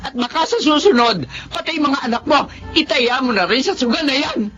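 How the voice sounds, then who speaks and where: A woman's voice: sits high, animated, a little fast, a bit bright. Firm, weary mother in muffled audio. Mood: angry, fed up. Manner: earnest, firm, reproachful. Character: weary, firm, long-suffering wife and mother of grown children. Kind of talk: dramatic Filipino radio drama acting. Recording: dull, lo-fi radio drama audio.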